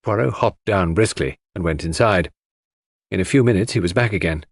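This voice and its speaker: A man's voice: sits low, moderate pace, no echo, studio quality. A man reading a story aloud as scripted audiobook narration.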